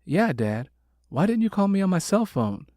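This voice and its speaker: A man's voice: high-pitched, big pitch swings, normal speed, a little mellow, dry recording, clean studio sound, pitched above the performer's own voice. A man voicing an outspoken, protective grown daughter in an audiobook, speaking in a direct, emphatic way. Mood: concerned.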